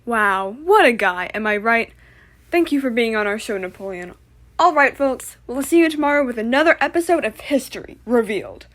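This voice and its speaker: A woman's voice: a little high, lively intonation, moderate pace, fairly bright tone. A woman playing a polite, slightly teasing talk-show host in a comedic puppet interview about history. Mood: presenting.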